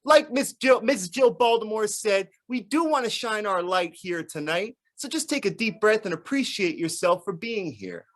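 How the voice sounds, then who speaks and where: This is an upbeat event host who talks playfully and pumps up the crowd. The voice sits at an ordinary pitch. It was recorded in a school library. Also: normal speed, hardly any pauses. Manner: lively, playful, hypes the audience.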